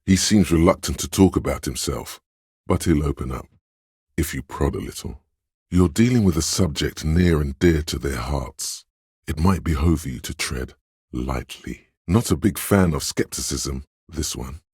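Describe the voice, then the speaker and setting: A man voicing a suave, insinuating inner voice of persuasion in a video game, narrating in a measured, knowing, gently coaxing way. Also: no room sound, studio quality, full character voice. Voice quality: very deep, husky. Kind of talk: scripted video game narration in second person.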